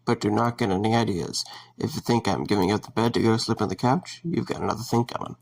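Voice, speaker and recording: Man playing a low-key, gravelly young hero. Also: steady tone, fast. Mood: groggy, wry. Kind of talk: audio drama acting.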